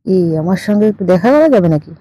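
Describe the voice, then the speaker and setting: Woman acting a quick-talking, mellow Bangladeshi aunt. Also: mid-range voice, animated, no room sound, natural voice, poor audio. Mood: teasing, demanding. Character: teasing, demanding older aunt who owns a fish farm.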